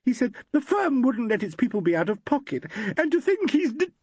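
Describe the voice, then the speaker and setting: A man's voice: extremely high pitch, sing-song, a little fast, dry recording, voice pushed up, full character voice. A man voicing a hysterical young actress who wails and sobs. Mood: tearful. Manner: sobbing, wailing.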